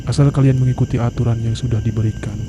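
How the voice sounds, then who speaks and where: A man playing a friendly, calm young student mountain guide in an animated horror story, speaking reassuringly. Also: medium pitch, fast talker, music in the background, close to an everyday voice. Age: young adult. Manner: reassuring, advising. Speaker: volunteer mountain guide character.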